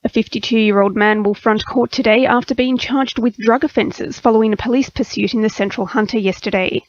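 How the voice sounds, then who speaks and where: An Australian woman reads the news on radio in a scripted bulletin style. Her voice sits a little high. The broadcast audio is slightly dulled. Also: steady tone, a little fast, dull audio. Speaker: radio newsreader.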